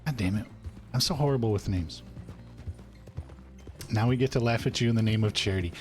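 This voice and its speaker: Folksy, self-mocking man streaming a western roleplay game. Low pitch, faint music bed. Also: fairly quick, darkish tone. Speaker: streamer playing an old cowboy character. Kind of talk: roleplay and chatting with stream chat.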